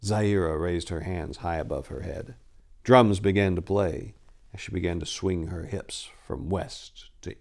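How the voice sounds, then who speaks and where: Man, low voice, theatrical storyteller, dramatic reading, fantasy tale. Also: gravelly, a little mellow. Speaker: story narrator.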